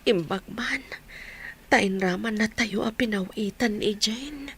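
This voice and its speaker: A Filipino woman acting a frail, weary sick mother in a radio drama, speaking in a weak, breathy near-whisper with a Filipino accent. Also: medium pitch, slow. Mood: weak, worried. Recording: faint background noise.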